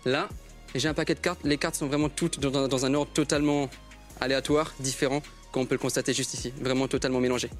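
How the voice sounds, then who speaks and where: A man's voice: mid-range voice, level tone, fast, faint music behind. A man from Alsace with an accent picked up from his hearing-impaired brother, a magician patiently walking people through a card trick. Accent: hearing-impaired speech pattern picked up from his brother. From Alsace, France. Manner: walks people through a card trick step by step, repeating instructions. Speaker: magician guest. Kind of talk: live card trick patter.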